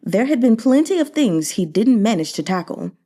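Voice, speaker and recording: A woman reading a novel aloud as narrator, in a measured storytelling manner. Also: on the high side, sing-song, dark tone. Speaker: audiobook reader.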